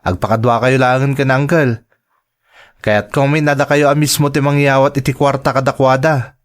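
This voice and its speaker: A man's voice: mid-range voice, steady tone, normal speed, lightly acted. An actor playing a generous, devoted young man in an Ilocano audio drama. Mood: eager, respectful. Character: generous, devoted young man sending money to his girlfriend. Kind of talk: radio drama acting in Ilocano.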